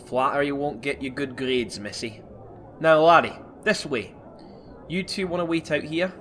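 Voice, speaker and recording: A man playing a gruff, foul-mouthed but kindly doctor with a Scottish accent in a comedy fantasy audio drama. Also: on the high side, moderate pace, fairly bright tone, slight background noise, close to an everyday voice. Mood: kindly, brisk. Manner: blunt, teasing, scolding.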